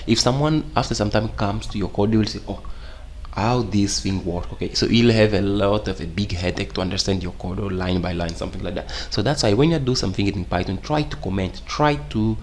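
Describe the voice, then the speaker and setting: A man's voice: on the low side, a little fast, slight background noise. A young man whose first language is Portuguese, teaching programming in English in a casual, rambling way and often checking in with "okay?". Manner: rambling, repeats "okay?" often, non-native grammar. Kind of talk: casual screen-share tutorial.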